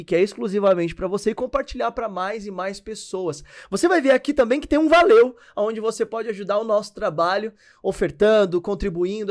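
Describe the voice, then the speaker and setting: High-voiced upbeat podcast host man. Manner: upbeat, promotional, lively delivery. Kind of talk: enthusiastic podcast hosting.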